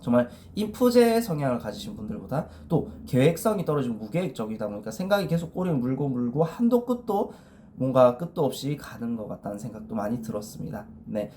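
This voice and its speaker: A young man with a cold talks casually through a numbered list, leaning on short filler words between points. His pitch sits in an ordinary middle range. A faint hiss runs under the voice. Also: warm tone. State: has a cold. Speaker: MBTI video creator. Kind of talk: casual explanatory monologue.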